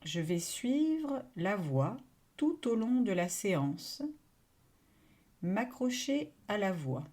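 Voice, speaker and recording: Slow, mellow woman guiding meditation. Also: mid-range voice. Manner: calm, soothing, long pauses between short phrases. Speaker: yoga nidra meditation guide. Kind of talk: guided relaxation meditation.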